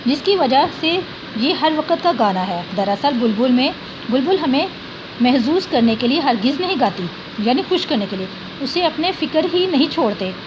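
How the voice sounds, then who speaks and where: Woman teacher, fairly high voice, reads a text line by line and explains hard words, dull lo-fi recording with steady noise behind. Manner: reads a sentence, then glosses hard words with "yani". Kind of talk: reading a text aloud and explaining words for students.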